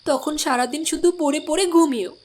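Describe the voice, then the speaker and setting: A woman voicing a strong-willed village housewife in a cartoon, complaining and insistent but soft-hearted. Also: high-pitched, brisk, natural voice. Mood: sulky, insistent. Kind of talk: cartoon voice acting.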